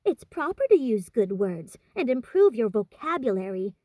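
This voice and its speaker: A woman's voice: a little high, big pitch swings, normal speed, warm tone, dry recording. A woman voicing a fussy, proper young girl in a storybook, pert and full of wounded dignity. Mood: indignant. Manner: pert, indignant, affectedly prim.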